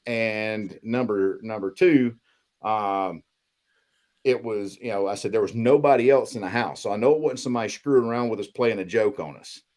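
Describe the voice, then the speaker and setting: A man's voice: on the low side, moderate pace, a little mellow. A man chatting casually and telling spooky personal stories in a folksy, slangy way, as a guest on a podcast. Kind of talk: casual storytelling chat.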